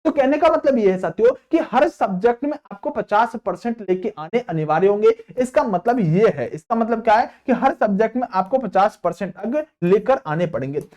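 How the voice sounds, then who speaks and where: High-pitched male exam coaching teacher. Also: lively intonation, fairly quick, few pauses, a little mellow. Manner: repetitive, asks and answers own questions. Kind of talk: explanatory lesson addressed to students.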